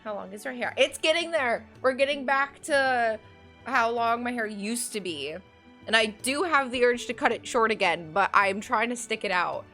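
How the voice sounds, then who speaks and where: A woman's voice: on the high side, lively intonation, moderate pace, sharp tone, faint music behind. A woman streamer with a nasal voice chatting casually while playing, rambling with lots of fillers. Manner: rambling, many fillers, cackling laugh. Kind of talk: casual chat while gaming.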